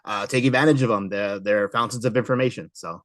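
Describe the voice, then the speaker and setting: A middle-aged American man with a fairly low voice hosts a podcast. He talks casually and conversationally, with very little room sound around him. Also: quick pace, fairly bright tone, no echo. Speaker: podcast host.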